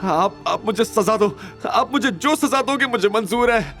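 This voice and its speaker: A high-pitched, cowering gangster henchman in an audio drama. He pleads slowly and brokenly with his boss, stammering in servile terror. Faint music plays underneath. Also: lively intonation, a bit bright, full character voice. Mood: terrified, pleading. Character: terrified gangster henchman begging his feared boss for mercy. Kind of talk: audio drama character acting.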